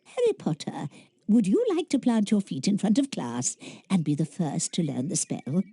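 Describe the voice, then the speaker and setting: A bustling British witch teacher in a video game lesson. Her voice is very mellow and husky, swinging wildly in pitch as she encourages the class. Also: wildly swooping pitch, normal speed, heavily acted. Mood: encouraging. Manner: schoolteacherly, encouraging.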